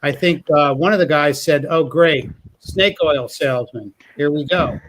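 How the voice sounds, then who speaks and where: A man's voice: a little slow, a bit bright, a little hiss or hum, rough recording. A man hosting a live call-in panel stream, casual and teasing, joking about selling courses. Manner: joking, teasing sales pitch.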